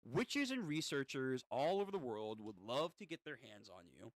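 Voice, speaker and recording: A man reading the part of a smug, threatening woman witch from a manga in a measured way. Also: medium pitch, sing-song, dry recording.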